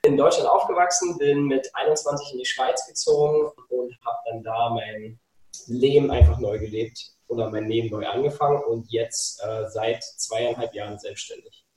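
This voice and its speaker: A man's voice: mid-range voice, steady tone, normal speed, darkish tone, recorded from a distance. A German man in his thirties, a guest telling travel stories in a casual video-call interview, eager and rambling. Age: 30s. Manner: enthusiastic, rambling storyteller. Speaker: podcast guest. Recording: roomy echo, voice off-mic.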